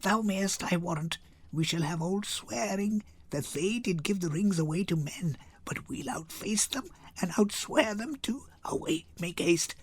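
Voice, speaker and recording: A man voicing a sly young wife in a Shakespeare reading, sharing a secret in a hushed whisper. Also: high voice, lively intonation, a little slow, slightly rough, exaggerated voice. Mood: sly, secretive.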